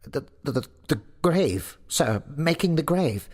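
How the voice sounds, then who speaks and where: Storybook sexton: timid, deferential, stammering, pitched high and shaky, husky. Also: male voice, expressive, a little slow, fairly bright tone, exaggerated voice. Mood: nervous stammering. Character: terrified, meek male sexton, stammering and deferential.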